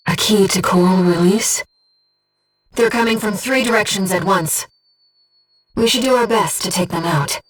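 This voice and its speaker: A woman voicing a gentle, earnest disembodied being in a video game, guiding a pilot with composed, precise, softly formal delivery. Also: on the low side, distant mic, natural voice, poor audio. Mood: calm, informative. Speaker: mission support operator. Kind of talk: scripted video game voice acting.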